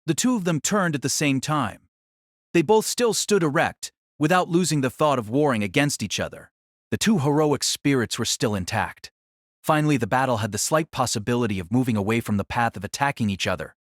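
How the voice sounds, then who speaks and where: A man narrating a fantasy audio drama in a steady, even storytelling manner. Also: mid-range voice, brisk, dry recording, clean studio sound.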